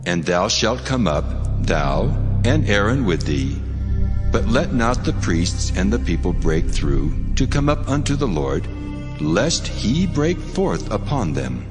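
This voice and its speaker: God pronounces each law with slow, solemn weight, as a man reading the story puts him on. The voice sits very low and rings out in a long hall reverb. Music plays clearly underneath. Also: very animated. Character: God, a deep commanding divine voice.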